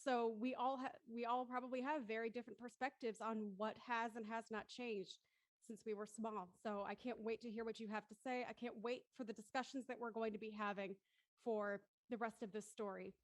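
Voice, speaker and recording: A woman's voice: a little high, steady tone, a little fast. A woman hosting an online cast discussion, introducing people in an encouraging way. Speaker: host introducing cast members. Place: online group video call.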